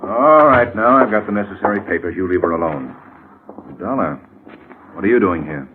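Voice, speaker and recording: A man's voice: sits low, expressive, moderate pace, phone-quality sound. A man playing a haughty, defensive lawyer in a 1950s radio drama, blustering and formal. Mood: brusque. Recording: thin, noisy old radio recording.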